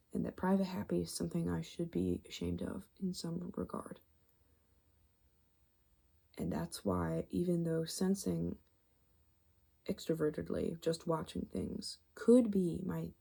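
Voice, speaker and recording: A woman with a soft, mellow voice that crackles heavily with vocal fry. She talks in a casual ASMR style, drifting into breathy whispers as she meanders through her thoughts. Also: on the low side. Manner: musing, meandering, halting mid-thought. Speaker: ASMR video creator. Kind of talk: ASMR, rambling casual personal explanation.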